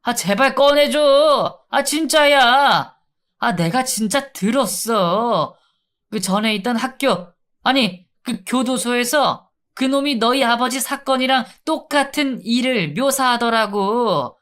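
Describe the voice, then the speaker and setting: A man's voice: extremely high pitch, slow pace, a bit bright, pitched above the performer's own voice, exaggerated voice. A man voicing a brash male inmate in withdrawal who taunts and then begs. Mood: pleading. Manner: taunting, then pleading and whining. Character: brash whiny male inmate in withdrawal, narrator's old school bully.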